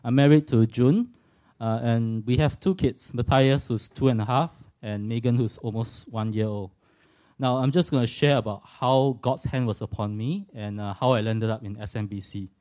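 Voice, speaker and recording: Mellow-voiced Singaporean man giving a testimony. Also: mid-range voice, normal speed. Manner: earnest and reflective, with light self-deprecating humour. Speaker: speaker giving a personal testimony. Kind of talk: personal faith testimony to a church audience.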